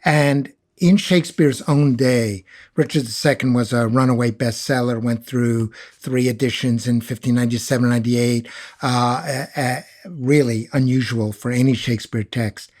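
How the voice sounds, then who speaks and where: A man's voice: mid-range voice, steady tone, normal speed, darkish tone. A man giving conversational expert commentary as a guest scholar, telling it emphatically like a story.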